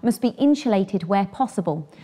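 A woman's voice: medium pitch, expressive, normal speed, mellow. A British woman in her thirties presenting scripted instructions for a training film.

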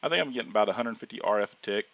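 Hoarse low-voiced man narrating gameplay. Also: rapid-fire, noisy background. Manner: relaxed, rambling with frequent ums and restarts. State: hoarse from sinus trouble. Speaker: gaming channel host. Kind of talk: casual gameplay commentary. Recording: dull lo-fi audio.

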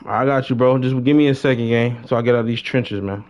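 A man's voice: mid-range voice, steady tone, fast talker, darkish tone. A young man chatting casually on a gaming livestream, using heavy slang and often repeating his phrases. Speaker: live-streamer playing an online role-play game. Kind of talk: casual gaming stream chat. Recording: dull lo-fi streaming mic.

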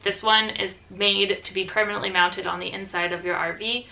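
A woman's voice: mid-range voice, steady tone, normal speed, creaky, bright, forceful tone, rough recording. Young woman explaining a DIY bus build. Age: young adult. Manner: practical, step-by-step, with frequent ums. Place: inside a bus under conversion.

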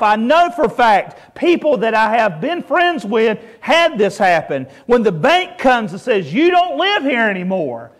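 A male pastor preaching a sermon in a church, stretching out emphatic words and asking the congregation for amens. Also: very high voice. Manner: preaching with drawn-out, emphatic calls to rejoice.

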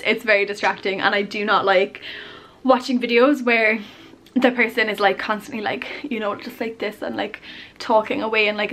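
A woman in her twenties rambling casually to camera in her bedroom, chatty and self-deprecating, her voice a little hoarse. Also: fairly high pitch, fairly bright tone. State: losing her voice, coughing. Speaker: YouTuber. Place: bedroom, indoors. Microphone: camera on tripod at arm's length.

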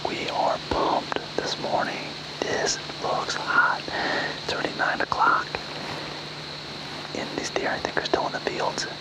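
A man in his thirties giving a hushed, whispered field update to camera as a bowhunter in the woods. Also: unhurried, rough recording. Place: outdoors in woods, up in a tree stand.

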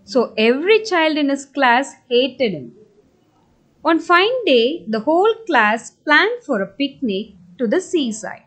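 A woman with a South Indian accent narrating a scripted children's story in a deliberate, emphatic way. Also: high-pitched, big pitch swings.

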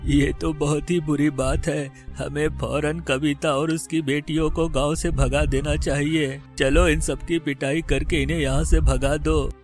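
A man's voice: on the high side, flat delivery, unhurried, hardly any pauses, soft background music. A man voicing a stern, authoritative older village headman in a cartoon story.

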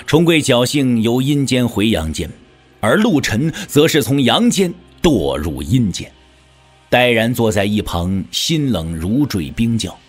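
A male narrator of a wuxia audio drama with a husky, mid-pitched voice. His delivery is theatrical, with extremely wide swings of intonation, over background music. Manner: theatrical, expressive.

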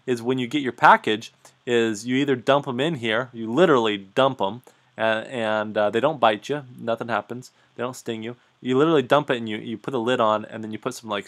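A man giving a casual, halting explanation of beekeeping over a slideshow, often restarting and correcting himself. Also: mid-range voice, fairly bright tone. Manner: halting, many restarts and fillers, self-correcting.

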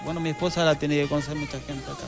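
A man in his thirties with a Chilean accent, a guest singer chatting earnestly on a TV studio set into a handheld mic, with some halting restarts. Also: a little high, fairly even intonation, gravelly, music in the background. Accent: Chilean Spanish. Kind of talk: casual chat.

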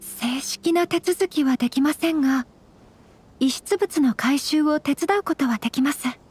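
An actress playing a dignified young noblewoman in a Japanese game dub, formal, courteous and composed, gentle but firm. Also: sits high, unhurried, faint music behind. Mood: calm, earnest. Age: young adult.

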